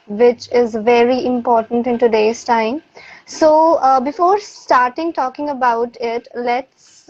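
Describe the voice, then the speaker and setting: Female interview host, higher-pitched voice, presenting an online discussion show. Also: darkish tone, dull audio. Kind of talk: hosted interview, introducing a guest.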